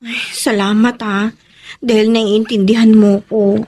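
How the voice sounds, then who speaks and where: An actress playing a strong-willed younger sister in a Filipino radio drama, with an emphatic delivery. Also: female voice, mid-range voice, flat delivery, a little slow, dark tone, close to an everyday voice. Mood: seeking reassurance.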